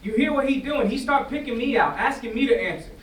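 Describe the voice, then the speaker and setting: A young man acting as a troubled teenage son pleading with his mother, in a staged play reading, speaking colloquially with insistent repetition. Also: very high voice. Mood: agitated, indignant. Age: young adult. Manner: colloquial, halting, repeating phrases insistently. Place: indoor staged reading before festival, distant mic. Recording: roomy, distant stage pickup, faint noise.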